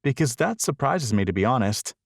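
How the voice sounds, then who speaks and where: A man's voice: medium pitch, very animated, a little fast, no room sound, studio quality. A man voicing a shy, skeptical young woman in a romance audiobook, with a hesitant, questioning manner. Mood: doubtful.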